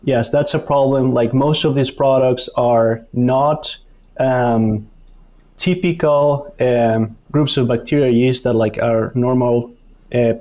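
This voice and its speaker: A man with an Argentine accent, a native Spanish speaker, answering interview questions as an academic expert in a halting, explanatory way. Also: mid-range voice, steady tone, mellow. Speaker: guest, university dairy researcher.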